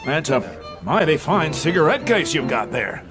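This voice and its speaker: An actor playing a wealthy, blunt, blustering husband at sea in a radio drama, hearty but easily worried. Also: male voice, on the high side, sing-song, measured pace, music in the background. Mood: hearty, uneasy.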